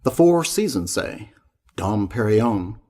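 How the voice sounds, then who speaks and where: Man reciting verse at slow pace. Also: fairly low pitch, sing-song, dark tone. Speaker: poetry reader. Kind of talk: poetry recitation.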